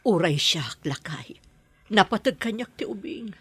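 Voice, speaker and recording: A woman's voice: mid-range voice, expressive, measured pace, fairly bright tone, husky, no echo. A woman acting an anxious, protective wife and mother in an Ilocano audio drama. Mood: anxious, determined. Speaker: actor in a drama.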